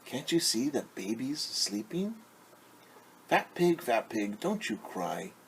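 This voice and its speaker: A man voicing an anxious mother from a storybook, pleading in a sing-song, drawn-out way with hushed shushing for quiet around her baby. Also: medium pitch, very animated, creaky, a little hiss or hum, voice pushed up, exaggerated voice. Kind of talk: storybook read-aloud, rhythmic refrain.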